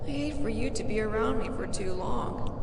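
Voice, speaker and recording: A woman's voice: a little high, moderate pace, natural voice. A woman acting in a fantasy audio drama as a proud, mocking captured royal, speaking in a formal, theatrical, taunting way. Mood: sarcastic, disdainful. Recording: roomy echo with loud background ambience noise.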